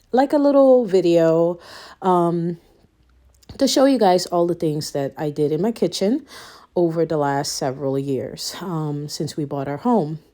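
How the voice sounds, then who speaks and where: A woman's voice: lowish voice, dark tone. A woman in her thirties from Suriname with a Guyanese accent, chatting casually and a little out of breath, with lots of ums. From Suriname, raised Guyanese. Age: 30s. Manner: rambling, many ums, laughs between thoughts. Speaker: home renovation vlogger. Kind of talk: casual chat to camera.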